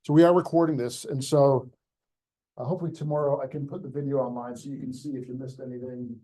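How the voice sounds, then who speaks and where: A man hosting a live pitch session in a crowded room, chatty and rambling with frequent restarts. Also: fairly even intonation, quick pace, dark tone, low-quality recording. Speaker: event host.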